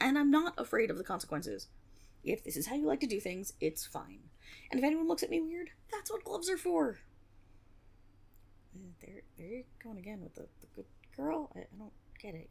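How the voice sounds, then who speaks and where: Woman acting a scrappy young tiefling thief gal, husky n a bit creaky, stammers thru her bluster, fantasy audio drama. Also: on the high side, heavily acted. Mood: flustered, bewildered. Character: flustered young female tiefling rogue, cocky but insecure. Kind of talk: fantasy roleplay audio drama, one-sided dialogue.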